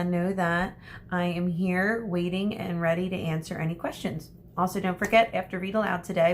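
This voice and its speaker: Female teacher, lowish voice, encouraging and chatty, speaking directly to children for a read-aloud; noisy home recording. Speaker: primary school teacher. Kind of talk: chatty address to pupils and storybook read-aloud.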